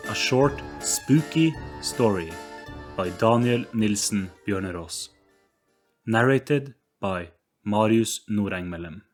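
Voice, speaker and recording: A man reading a scripted spooky storybook in a deliberate, theatrical storytelling manner. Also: fairly low pitch, animated. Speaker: narrator. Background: music.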